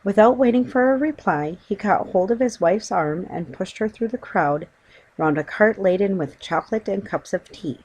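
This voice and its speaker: Woman narrator, slightly low pitch, husky voice, children's audiobook reading. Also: a little mellow, low-quality recording. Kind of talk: scripted children's story narration.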